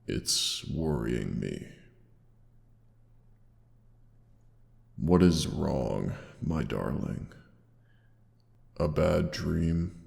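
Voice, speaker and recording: Casting: a loving, protective dragon for audio roleplay; rumbling bottom-end pitch, slow archaic tenderness. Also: male voice, animated, darkish tone, heavily acted. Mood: tender concern. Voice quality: very deep, gravelly. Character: caring old-world male dragon, tender protective lover. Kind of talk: intimate audio roleplay acting. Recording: long cavernous reverb.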